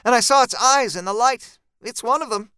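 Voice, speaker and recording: Man voicing a high, urgent rural woman, resolute. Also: moderate pace, pushed and bright, clear, resonant tone, no echo. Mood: grim, urgent. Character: gritty rural woman, blunt, resolute monster-fighting neighbor. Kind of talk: dark horror audiobook character voice.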